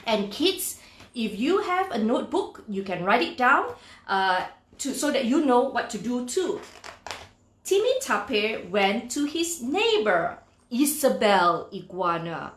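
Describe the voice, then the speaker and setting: Lady reading a kids book to children, highish voice, takes her time, kinda teacherly, echoey room. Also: big pitch swings, a bit bright. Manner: slow, deliberate, instructive. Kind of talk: expressive children's read-aloud with asides to young listeners.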